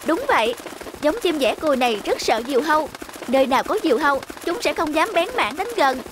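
A woman's voice: high-pitched, animated, brisk, background noise. A woman voicing a sensible, consoling neighbour hummingbird in a cartoon fairy tale. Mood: advising. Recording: loud music under the voice.

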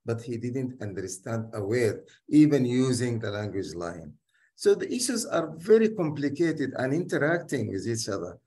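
A man's voice: medium pitch, very animated, moderate pace, darkish tone. A man working as a doctor and community leader, whose first language is Arabic, speaking in a hesitant way with many restarts in a casual discussion.

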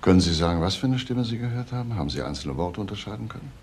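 An actor playing a probing, calmly insistent police inspector in a crime drama, prodding with short questions. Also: male voice, on the low side, very fast. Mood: measured, soothing. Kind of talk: German crime drama acting.

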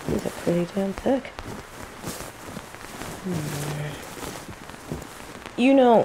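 A woman playing a cocky, teasing female mage who controls plants, in a scripted roleplay audio drama, with an unhurried, playful drawl. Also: lowish voice, heavily acted, low-quality recording. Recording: steady rain ambience noise. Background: falling rain.

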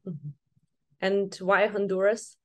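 A young woman with a mid-range voice speaks in a measured, prepared way, introducing a guest and asking questions. She talks over a laptop mic from a room with bookshelves. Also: medium pitch, level tone, darkish tone. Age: young adult. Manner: scripted, measured reading of an introduction. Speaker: interviewer. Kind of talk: video call interview. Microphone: video call laptop mic.

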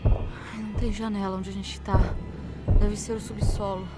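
A woman's voice: mid-range voice, level tone, normal speed, lightly acted. An actress playing a frightened, distressed Brazilian woman in an audio drama, anxious and emotional. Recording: loud music over the voice.

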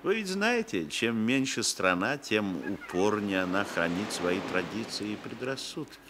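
A sly Sicilian landowner of about sixty in an old Soviet radio drama. He is lively and insinuating, hesitating and chatting genially with menace underneath. The recording carries faint noise. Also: on the low side, a little slow. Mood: chatty, wry.

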